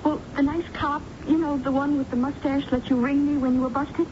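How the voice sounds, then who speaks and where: An actress playing an earnest, anxious young daughter, halting and emotional, in a 1970s radio drama. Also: female voice, high voice, fairly even intonation, lightly acted. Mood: uneasy, hesitant. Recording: muffled, noisy 1970s radio broadcast.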